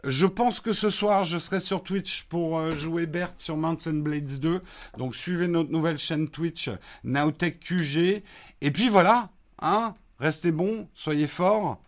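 A man from France hosting a casual morning live stream, answering viewer questions in a relaxed, hesitant way with many fillers. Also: fairly high pitch, slow pace. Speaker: host of a morning live show answering chat.

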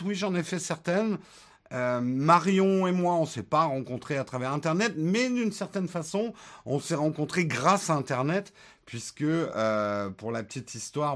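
A man hosting a casual tech livestream, chatting loosely with many fillers and joking asides as he answers viewer questions; his first language is English, though he was born in France and grew up in the United States. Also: fairly high pitch. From France, raised in the United States. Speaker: tech channel host answering live chat questions.

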